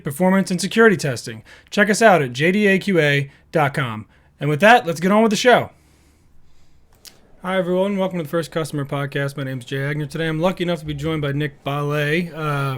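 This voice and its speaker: A man hosting a podcast, asking questions in a relaxed, friendly way with fillers. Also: fairly high pitch. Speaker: podcast host. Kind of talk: podcast interview.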